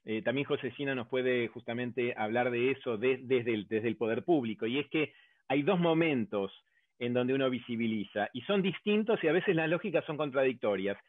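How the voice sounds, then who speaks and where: An Argentine man on an academic panel, building his point step by step and pausing on fillers. His voice comes through a narrow, phone-like remote connection with no room sound at all. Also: mid-range voice, no echo. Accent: Argentine Spanish. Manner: hesitant, many fillers, builds arguments step by step. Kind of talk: round-table discussion. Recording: dry, tinny phone-like line.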